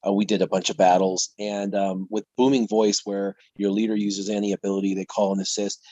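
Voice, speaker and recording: A man who streams the game, talking casually on a live panel and telling a rambling, animated story. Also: lowish voice, brisk, dry recording, muffled recording.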